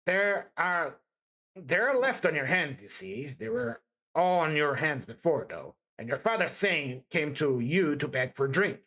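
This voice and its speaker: A woman's voice: low-pitched, animated, unhurried, a bit bright, exaggerated voice. A woman voicing a bitter, sombre young man in an audiobook, asking terse, pointed questions. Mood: probing, grim. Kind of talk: dramatised audiobook character dialogue.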